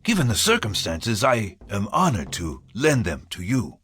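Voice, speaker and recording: An actor playing an honorable, commanding admiral in a video game, speaking in a formal, stately way. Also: male voice, on the low side, huge pitch swings, moderate pace, a bit bright, faint music behind. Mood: courteous, gentle. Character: honorable Korean admiral, commanding yet courteous man. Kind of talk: scripted video game voice acting.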